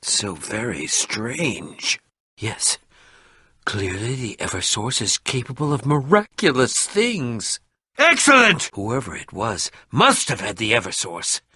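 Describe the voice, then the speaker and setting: A man voicing an excitable, eccentric and pompous adventurer-scholar for a video game, theatrical and grandiose, with dramatic pauses and sudden outbursts. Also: medium pitch, slightly rough, no echo, studio quality, exaggerated voice. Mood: gleeful excitement. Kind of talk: animated video game character acting.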